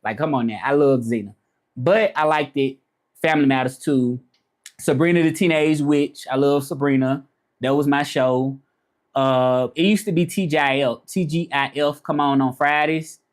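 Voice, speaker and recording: A man's voice: a little high, moderate pace. Chatty Southern man from Memphis. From Memphis, Tennessee. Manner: rambling, chatty, repeats himself. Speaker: vlogger answering live chat. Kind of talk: casual live-stream chat.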